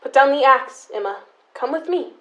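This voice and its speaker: A young woman playing a stubborn, embittered, protective sister in an audio drama, with deliberate, resentful delivery. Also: high-pitched, sharp tone, lo-fi sound. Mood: urgent, pleading. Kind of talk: high school audio drama acting.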